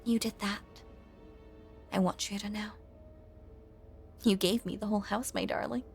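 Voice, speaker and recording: A woman playing a lonely, possessive female ghost in an intimate audio drama monologue, hushed and coaxing, with genteel old-fashioned phrasing and a breathy voice that often sinks toward a whisper. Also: medium pitch, fairly even intonation, a little slow, soft background music, lightly acted. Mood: proud, eerie devotion.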